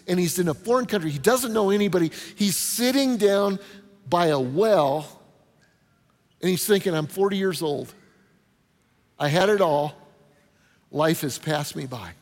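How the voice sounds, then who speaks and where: A man preaching a conversational sermon as a pastor, with rhetorical questions, punchy short phrases and informal asides. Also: a little high. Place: large indoor hall before an audience. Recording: reverberant hall with long echo.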